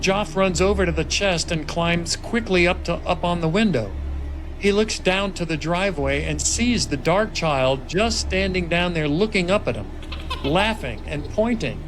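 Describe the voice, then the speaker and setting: A man's voice: on the high side, slight background noise, low-quality recording. A man reading stage directions as the narrator of a film script table read, in dramatic scripted narration. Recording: music laid clearly behind the voice. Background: music and sound effects.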